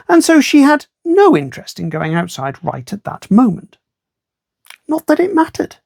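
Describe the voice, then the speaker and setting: Creaky storyteller reading aloud. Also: medium pitch, no room sound, clean studio sound. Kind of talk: read-aloud children's storytelling.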